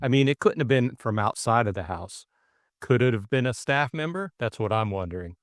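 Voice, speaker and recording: A man's voice: medium pitch, expressive, fairly quick, no echo, studio quality. A middle-aged man co-hosting a casual book discussion, thinking aloud in a hesitant way. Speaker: audiobook co-host and narrator.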